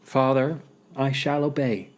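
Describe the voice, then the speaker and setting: Man acting a soft, meek young noblewoman. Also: medium pitch, steady tone, measured pace, background noise, close to an everyday voice. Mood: meek, resigned. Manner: soft, submissive.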